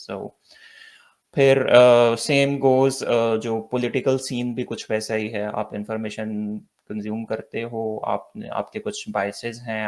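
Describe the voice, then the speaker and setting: Gravelly, flat-voiced man co-hosting a podcast. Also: on the low side, rough recording. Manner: mixes Hindi-Urdu and English, with many fillers. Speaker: podcast co-host. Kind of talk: casual podcast discussion.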